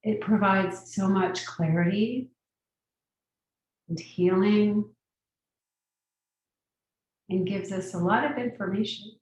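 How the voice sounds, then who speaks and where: A woman guiding a meditation as a teacher, giving gentle, unhurried instructions with long pauses. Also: mid-range voice, fairly even intonation. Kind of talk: guided meditation. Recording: very echoey room, distant mic.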